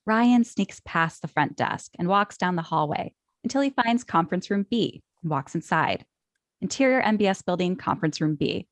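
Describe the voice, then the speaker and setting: A woman's voice: on the low side, lively intonation, normal speed, no echo. Matter-of-fact woman reading stage directions. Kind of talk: scripted narration.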